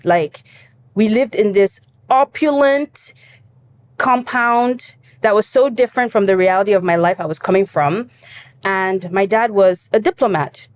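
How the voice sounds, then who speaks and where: A young woman from Cameroon talks through her life story as an interview guest. She is expressive and playful, slipping into little voices of her younger self and laughing easily, in a mid-range voice. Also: medium pitch, unhurried. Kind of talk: casual interview talk.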